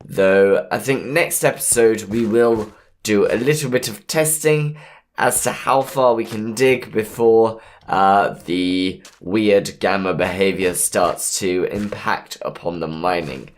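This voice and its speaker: A man with a hoarse voice from a cold, easygoing and punny as he plans his moves aloud while commentating a game. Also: fairly low pitch, takes it slowly. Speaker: gameplay commentator. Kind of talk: let's play commentary.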